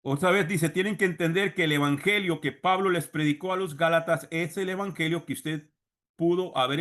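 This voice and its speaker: A man's voice: on the high side, fairly bright tone. A man with a Costa Rican Spanish accent teaching a Bible seminar like a sermon, didactic, repeating key phrases and addressing listeners directly. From Costa Rica. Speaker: religious teacher and congregation leader.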